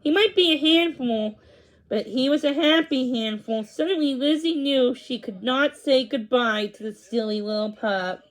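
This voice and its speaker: Female storybook reader, high-pitched voice, narrating for children with slightly halting delivery. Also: poor audio.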